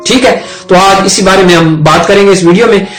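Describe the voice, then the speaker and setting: A Pakistani man with a distinctly high voice explains law in an informal, storytelling way. He restates his points as he goes. The room echoes noticeably and music plays underneath. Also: fairly quick, fairly bright tone. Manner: conversational, repeats and rephrases points. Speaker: advocate and legal educator. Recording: roomy echo, music underneath.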